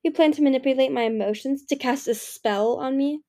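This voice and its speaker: A woman voicing a cold, menacing male dragon king who growls his lines slowly. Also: sits high, dark tone, voice pushed up. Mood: angry. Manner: growling, clipped, icy.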